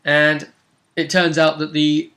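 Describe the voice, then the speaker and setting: Male game master, casual and animated storyteller, slightly high pitch, bright forceful tone. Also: slow. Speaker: game master recapping a tabletop role-playing session. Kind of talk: casual chat recapping a fantasy game.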